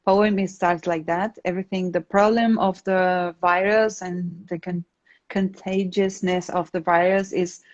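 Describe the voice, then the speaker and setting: A woman's voice: on the low side, fairly even intonation, measured pace. A woman with an Argentine accent and Spanish as her first language, speaking casually as an interview guest and often searching for words. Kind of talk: casual interview talk, explaining own work. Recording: slightly dull, lo-fi online call.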